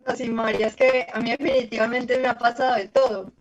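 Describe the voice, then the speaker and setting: A woman's voice: fairly even intonation, fast talker. Colombian woman telling travel stories. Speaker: journalist guest. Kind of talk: conversational storytelling. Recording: muffled low-fidelity audio.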